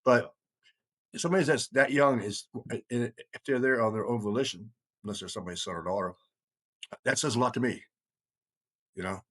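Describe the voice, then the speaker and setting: A man's voice: medium pitch, a little fast, pauses often, a bit bright. A middle-aged man from the United States talking casually in a rambling, emphatic way, repeating phrases for stress. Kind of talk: casual conversational talk.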